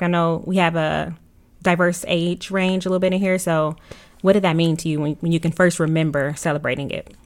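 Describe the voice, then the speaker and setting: A woman's voice: lowish voice, fairly bright tone. A woman moderating a casual panel discussion, asking questions in an upbeat, inquisitive way.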